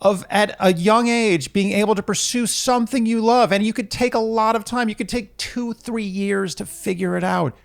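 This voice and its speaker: A man gives casual, opinionated advice as a podcast co-host. His voice is slightly higher than most men's. He speaks emphatically and repeats short phrases to make his point. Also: normal speed, gravelly, few pauses. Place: living room, indoors.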